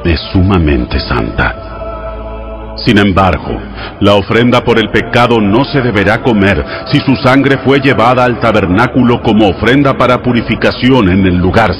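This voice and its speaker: A man with a low voice reads scripture as an audio Bible narrator. His delivery is solemn, formal and instructive, laid out in measured phrases. Music plays clearly behind him throughout. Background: background music.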